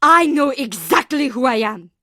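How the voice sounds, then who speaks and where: A woman voicing a proud, imperious creator in a video game, declaiming forcefully and defiantly. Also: high voice, expressive, moderate pace, no echo, studio quality.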